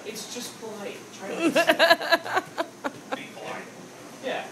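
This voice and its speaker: A man's voice: high voice, lively intonation, slow pace, background noise. A man from upstate New York doing a loose, crude open-mic stand-up set on a small theater stage, talking into a handheld mic. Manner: loose, rambling, crude, full of "like" and "okay?". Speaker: stand-up comedian. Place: small dark theater stage with a live audience. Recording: echoey room with crowd laughter.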